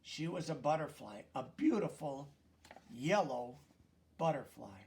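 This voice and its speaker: A man reading a children's story aloud as an expressive narrator. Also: a little high, measured pace, gravelly, distant mic. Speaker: storybook reader.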